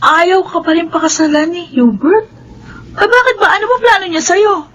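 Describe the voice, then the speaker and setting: A concerned female confidante in a radio drama. She has a high voice and a probing, questioning way of talking. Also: normal speed, a bit bright. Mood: concerned, exasperated.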